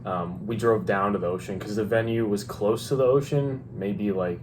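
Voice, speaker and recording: A young adult man co-hosting a vlog, telling a personal story casually and haltingly, often restarting his phrases. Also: on the low side, slight background noise. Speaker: vlog co-host. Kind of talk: casual storytime chat with a partner.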